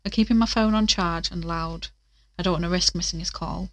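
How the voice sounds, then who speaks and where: A woman's voice: lowish voice, fairly quick, dry recording, close to an everyday voice. A woman playing an earnest investigator in an audio drama, talking in a thoughtful, conversational way. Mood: pensive, uneasy. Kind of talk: audio drama acting, naturalistic diary narration.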